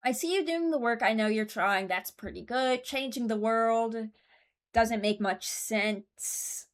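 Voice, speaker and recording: A woman's voice: a little high, a little slow. A woman hosting a video, giving casual, rambling commentary and hesitating as she thinks aloud. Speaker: video creator and host.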